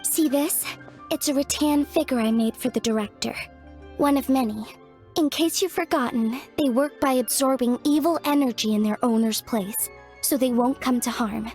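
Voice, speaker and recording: A woman's voice: high-pitched, normal speed, a little mellow, faint music behind. A woman voicing an earnest young craftswoman in a video game, explaining things carefully.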